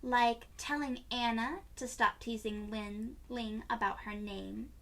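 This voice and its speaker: A woman's voice: a little high, fairly even intonation, slow, fairly bright tone. A woman voicing a curious, earnest young girl in a children's storybook, asking questions in simple, childlike phrases. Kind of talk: children's storybook read-aloud.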